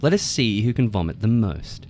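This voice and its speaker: A man's voice: fairly low pitch, very animated, normal speed, darkish tone, pitched above the performer's own voice. A cocky little frog who calls himself king, acted out by a male storyteller. He brags theatrically in a raised, smug voice, a storybook character with some noise behind him. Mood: boastful challenge. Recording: noticeable background noise.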